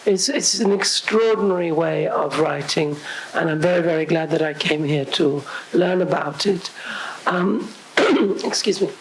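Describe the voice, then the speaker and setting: Woman, hoarse, losing her voice, low-ish pitch, very slow, faint hiss behind. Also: poor audio. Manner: slow and halting, clearing her throat. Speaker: audience member asking a question.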